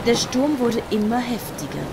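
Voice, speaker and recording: A woman's voice: on the high side, sing-song, moderate pace, very noisy. A woman narrating a scripted audio story. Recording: loud music and storm effects behind.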